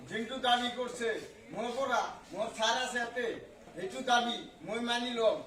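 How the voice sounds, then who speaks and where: A man playing a bumbling, blustering village politician in an amateur comic skit, halting and bombastic, through a voice changer. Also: very high-pitched. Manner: halting, bombastic, garbled broken English mixed in. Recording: echoey, distant pickup with clear background noise.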